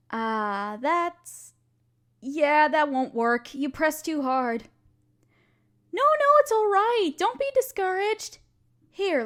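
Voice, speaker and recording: A woman playing a kind, patient alchemist who gently teaches and reassures an apprentice in an intimate audio roleplay. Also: high-pitched. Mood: reassuring. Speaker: voice actor in a scripted audio roleplay. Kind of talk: intimate scripted roleplay, one-sided conversation with listener.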